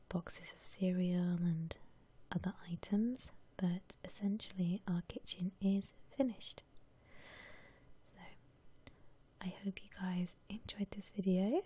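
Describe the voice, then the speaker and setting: A woman whispering softly and breathily as she muses aloud through ASMR game commentary. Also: fairly low pitch, slightly rough. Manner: unhurried, soft-spoken musing aloud. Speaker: host of an ASMR gaming channel.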